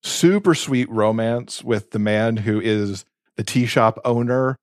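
A man's voice: mid-range voice, slow, no room sound, clean studio sound. A man co-hosting a podcast, talking casually and enthusiastically about book recommendations. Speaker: podcast co-host.

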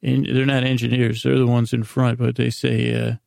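Gravelly man rambling through a bedtime monologue. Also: mid-range voice, little pitch movement, darkish tone, no echo, studio quality. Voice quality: gravelly, creaky. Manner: meandering, halting, tangent-prone. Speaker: podcast host.

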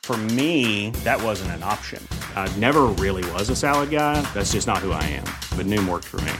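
A man's voice: on the low side, expressive, normal speed. A man talking casually as a customer giving a testimonial. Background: music.

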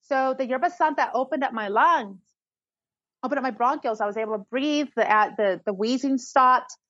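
Talkative woman, higher voice, dry sound. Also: a little fast, a bit bright, no echo, muffled recording. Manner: enthusiastic, rambling with restarts. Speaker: herbalist guest. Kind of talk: casual conversation.

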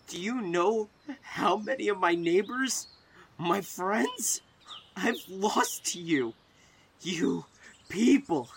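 Furious gravelly armed survivor. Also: male voice, high voice, a bit bright, slight background noise, exaggerated voice. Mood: bitter, disgusted. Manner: threatening, accusing, cursing. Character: armed, furious, distrustful male survivor guarding his neighbourhood. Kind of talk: audio horror drama acting.

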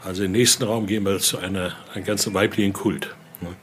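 A man's voice: low-pitched, fairly even intonation, quick pace. Man guiding a tour, faint background noise. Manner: explanatory, punctuated with tag questions. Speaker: museum guide and voodoo expert. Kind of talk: informal guided tour talk. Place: indoor exhibition room.

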